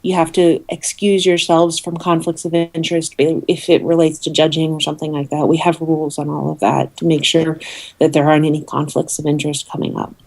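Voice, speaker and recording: A woman speaking as an interview guest, explaining things informally in a halting way with many ums. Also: sits low, level tone, darkish tone. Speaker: interview guest, awards organiser.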